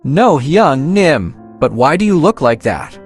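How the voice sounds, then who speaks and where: An actor playing a loyal, earnest young male sidekick, eager and expressive, in an anime-style dub. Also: on the high side, a little slow, slightly rough, faint music behind, exaggerated voice. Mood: admiring.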